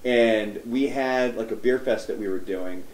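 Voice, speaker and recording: A man's voice: fairly low pitch, a little slow, darkish tone, reverberant, far from the mic, low-quality recording. A man hosting a radio morning talk show, telling stories in a blunt, joking way.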